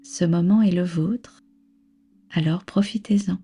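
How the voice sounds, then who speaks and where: A woman with a soft, breathy voice leading a guided relaxation as a yoga nidra instructor, soothing, unhurried and gently instructing. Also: lowish voice. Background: background music.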